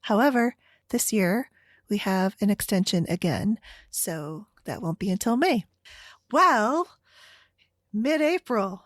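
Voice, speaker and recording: A woman's voice: medium pitch, animated, takes it slowly, pauses often, no room sound, studio quality. An American woman hosting a solo podcast, chatting casually in a rambling way. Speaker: podcast host.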